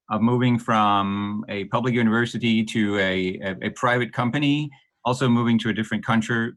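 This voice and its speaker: Middle-aged Danish man, low voice, thoughtful and halting guest on a podcast. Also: a bit bright. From Denmark. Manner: hesitant, many ums and restarts, laughs lightly. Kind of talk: podcast interview.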